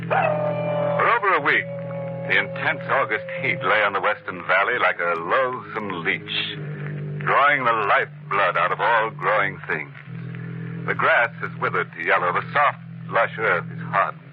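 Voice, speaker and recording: An American man playing a gleefully macabre horror host in a theatrical 1940s radio style, with a mock-genial, drawn-out delivery. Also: on the low side, wildly swooping pitch, music in the background. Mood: ominous. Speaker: host and narrator. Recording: muffled vintage 1940s radio broadcast.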